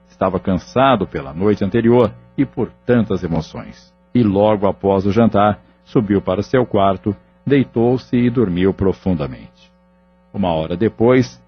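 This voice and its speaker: Brief: male narrator, low husky voice, steady measured storytelling, dull lo-fi audio with faint music underneath. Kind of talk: scripted audio novel narration.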